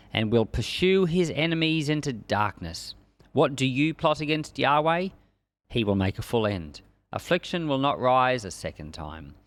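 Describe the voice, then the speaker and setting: A man's voice: medium pitch, dry recording. A man preaching and teaching from the Bible in an emphatic, conversational way. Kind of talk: Bible reading and informal expository sermon.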